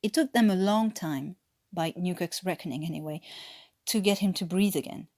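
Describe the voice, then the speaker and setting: A woman's voice: lowish voice, normal speed, a little mellow. French woman reading a story expressively. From France. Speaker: amateur audiobook reader. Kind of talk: scripted story narration.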